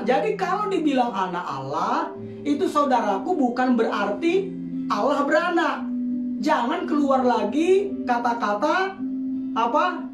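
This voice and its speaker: A woman from Medan preaching a sermon, firing rhetorical questions and calling for amen responses. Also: sits high. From Medan, Indonesia. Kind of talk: sermon teaching. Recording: echoey room, distant mic, music behind. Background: guitar music.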